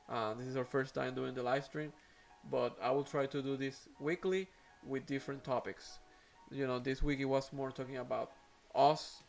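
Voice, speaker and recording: A man's voice: mid-range voice, fairly bright tone. A man whose first language is Spanish, speaking English with a Spanish accent as host of an aquarium live stream, talking casually with many fillers and restarts.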